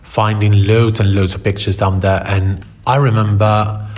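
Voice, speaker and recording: A man from Spain with a Spanish accent, telling personal stories in conversation in a halting way, repeating words for emphasis. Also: fairly low pitch, level tone, darkish tone. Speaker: interview guest, photographer.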